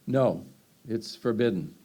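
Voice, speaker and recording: Low-voiced older man rambling. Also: animated, slow, dark tone. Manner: rambling, conversational, many fillers and restarts. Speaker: travel speaker answering audience questions. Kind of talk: unscripted Q&A answers.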